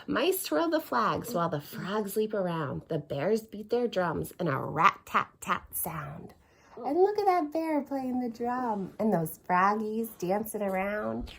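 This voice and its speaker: A woman in her thirties reading a bedtime picture book aloud to toddlers at home, gentle and sing-song, sometimes dropping to a whisper. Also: mid-range voice, big pitch swings, unhurried, husky. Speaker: children's video host reading bedtime stories.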